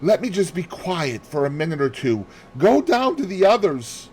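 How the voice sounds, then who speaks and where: A man's voice: a little high, sing-song, moderate pace, darkish tone, breathy edge, voice pushed up. A man voicing a frightened, distraught woman in a mystery audiobook, pleading as he goes.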